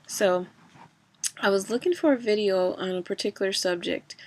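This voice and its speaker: A woman's voice: mid-range voice, normal speed, a little mellow. A woman talking in a casual personal vlog, rambling with many fillers and restarts, a bit stuffy from a cold.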